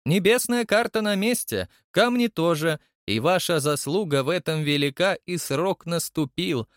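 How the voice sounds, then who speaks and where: An imperious old chairman of a secret society in an audiobook drama, acted out by a male narrator. His voice climbs high and swings widely as he scolds and insists, full of indignation. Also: animated, normal speed, dry recording, clean studio sound, lightly acted. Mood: insistent.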